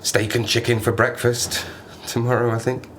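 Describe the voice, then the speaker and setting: An actor playing a stranded Arctic survivor in an audio play, a sardonic, haunted man who talks haltingly and rambles in agitation. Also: medium pitch, flat delivery, a bit bright, close to an everyday voice. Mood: wry, rambling. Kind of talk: dramatic audio play monologue. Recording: noisy ambience behind the voice.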